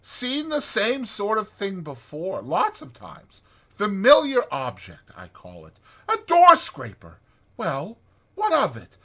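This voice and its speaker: A man voicing a plaintive, grumbling little male mole with drawn-out complaints for a dramatized audiobook. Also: high voice, wildly swooping pitch, bright, forceful tone, voice pushed up, exaggerated voice. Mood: unimpressed, sulky.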